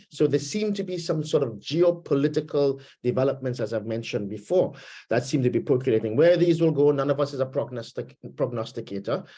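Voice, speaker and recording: A man with a Trinidadian accent speaking as a webinar panelist, measured and thoughtful, pausing often with fillers over a video call. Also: medium pitch, mellow. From Trinidad and Tobago roots. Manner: measured, pauses with many fillers. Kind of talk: panel discussion, political commentary. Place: home, Canada.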